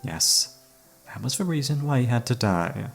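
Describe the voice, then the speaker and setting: A man's voice: medium pitch, lively intonation, moderate pace, creaky, faint music behind. A man playing an obsessive, flamboyant serial killer in an ASMR audio drama, flirtatious and menacing, with a taunting, mock-polite manner. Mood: amused menace.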